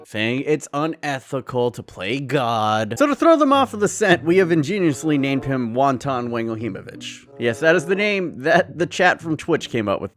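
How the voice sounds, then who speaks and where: A man hosting a gaming video, giving casual, excitable commentary with lots of jokes and self-mockery. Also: a little high. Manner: excitable, jokey, self-mocking.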